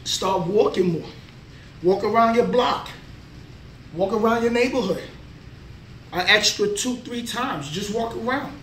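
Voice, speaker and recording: A man's voice: high voice, measured pace, long pauses, fairly bright tone, distant mic, slight background noise. A man in his thirties giving a casual, motivational workout vlog between sets, filmed on a camera mic a few feet away in a small indoor gym. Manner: motivational, plain-spoken, talks between sets. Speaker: vlogger, trucker. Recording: echoey room, voice a bit off mic.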